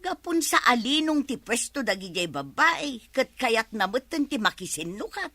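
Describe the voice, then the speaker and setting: A woman's voice: on the high side, big pitch swings, moderate pace, pushed and bright, no room sound, full character voice. A woman playing a disapproving mother who admonishes her grown daughter in a radio drama. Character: disapproving older mother scolding about her son-in-law.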